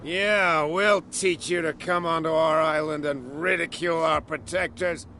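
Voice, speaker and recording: A man voicing a gruff, suspicious island drunkard in a video game, grumbling about outsiders. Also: a little high, fairly even intonation, unhurried, sharp tone, a little hiss or hum. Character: gruff, idle male island drunk, protective of his saint.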